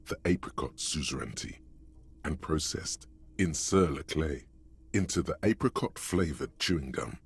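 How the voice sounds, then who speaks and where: The deep, husky inner narrator voice of a game. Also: male voice, exaggerated voice. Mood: wry. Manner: wry and goading. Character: the game's inner voice, a smooth male narrator goading the player.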